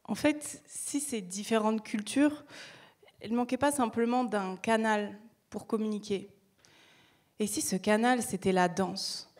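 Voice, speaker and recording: Slow, measured young woman on stage. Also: medium pitch, a little mellow. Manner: measured, rhetorical questions, light humor. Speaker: project founder presenting. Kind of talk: prepared stage talk.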